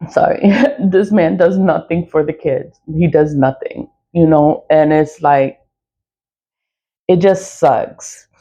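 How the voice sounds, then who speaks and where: A woman with a raspy voice, venting bluntly and profanely in an early-morning vlog, repeating herself as she goes. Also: deep voice, slow pace, warm tone, dull audio. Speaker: vlogger. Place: dark room before dawn.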